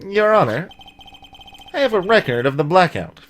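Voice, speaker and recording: A woman voicing a composed, formal courtroom lawyer in a video game, speaking in a measured manner. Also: lowish voice, big pitch swings.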